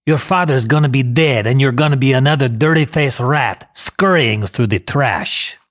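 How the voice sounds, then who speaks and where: A man voicing a brutal, bullying Italian mobster in an audio story, with a raspy accented voice and street-tough threats. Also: mid-range voice, moderate pace, pitched above the performer's own voice, lightly acted. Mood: menacing.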